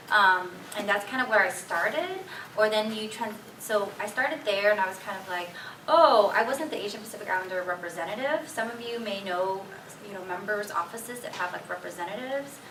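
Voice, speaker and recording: A woman's voice: mid-range voice, bright, forceful tone. A woman speaking as a panelist in conversational tones, hedging and saying "like" often. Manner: conversational, hedging, says "right" and "like". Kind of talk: casual panel talk. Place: conference hall. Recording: echoey hall from far off, noisy.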